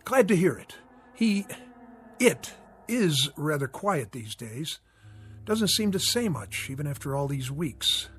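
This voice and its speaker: Radio drama: a genteel visitor, higher pitch, lively up-and-down delivery. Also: male voice, takes it slowly, husky, soft background music, full character voice. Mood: friendly. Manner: courteous, chatty.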